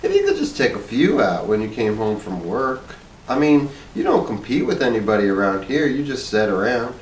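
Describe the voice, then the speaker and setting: A man voicing a simple, sweet, chatty middle-aged wife with folksy, drawn-out phrasing in a storybook reading. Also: mid-range voice, wildly swooping pitch. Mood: coaxing, concerned. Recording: roomy, distant mic with faint noise.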